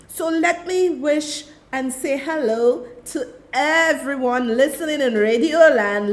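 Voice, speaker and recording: A woman with a Trinidadian accent hosting her own radio talk show, chatting directly to her listeners into a studio mic. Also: sits high. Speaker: radio and web show host.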